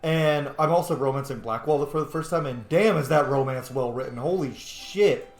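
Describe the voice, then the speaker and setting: A man's voice: medium pitch, normal speed. A man hosting a gaming video in a casual, rambling and informal way. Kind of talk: casual let's play commentary and roleplay. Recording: roomy echo, game music behind. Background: video game music.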